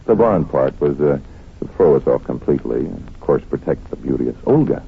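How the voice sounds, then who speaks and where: An actor playing a confident, analytical detective in an old radio drama, explaining things with teasing, affectionate asides. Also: male voice, extremely low pitch, sing-song, brisk, gravelly, breathy edge, full character voice. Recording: muffled vintage radio recording.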